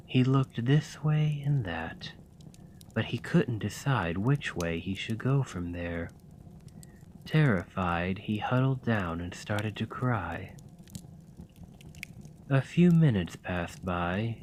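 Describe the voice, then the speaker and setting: A man in an ASMR roleplay, gently reading a fairy tale aloud in a soft, soothing manner. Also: fairly low pitch, a little hiss or hum, rough recording.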